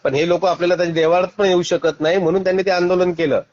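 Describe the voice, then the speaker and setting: A man's voice: high voice, steady tone, rapid-fire, a little mellow. A man arguing bluntly and insistently in a heated phone call. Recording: dull lo-fi phone call recording.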